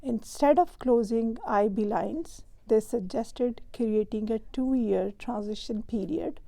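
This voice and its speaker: A woman hosts the show, her fairly high voice carrying a gravelly, creaky edge with a mellow, darker tone. She reads from a prepared script in a measured, even delivery, recorded close and dry. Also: dry recording. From Pakistan. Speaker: host. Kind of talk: scripted explainer narration.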